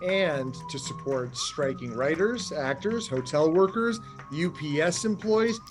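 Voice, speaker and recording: A man's voice: on the high side, animated, unhurried, loud music behind the voice. A man co-hosting a podcast, reading an introduction in a jokey way and laughing as he corrects himself. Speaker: podcast co-host. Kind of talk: casual podcast chat.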